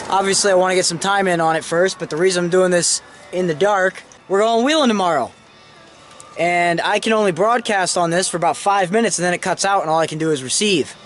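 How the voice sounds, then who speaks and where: A man with a notably high-pitched voice talks through a hands-on repair job like a casual video host. He is informal and self-deprecating, with mildly salty slang. A faint noise from in and around the vehicle sits behind him. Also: a bit bright. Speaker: host of a DIY car how-to video. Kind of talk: casual hands-on tutorial narration. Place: in and around a vehicle while working on it.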